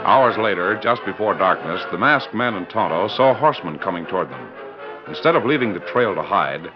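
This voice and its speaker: A man's voice: sits low, animated, fairly quick. A man delivering dramatic scripted narration as the announcer of a 1940s radio show. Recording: muffled old radio recording. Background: music.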